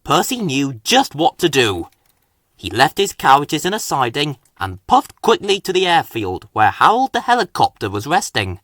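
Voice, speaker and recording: A man reading a children's storybook aloud as a scripted narrator, with an expressive, animated delivery. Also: a little high, normal speed, no echo, clean studio sound. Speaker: story reader.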